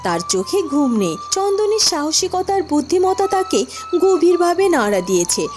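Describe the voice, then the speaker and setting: A woman's voice: extremely high pitch, sing-song, moderate pace, darkish tone, faint music behind. A woman narrating a scripted fairy-tale cartoon story.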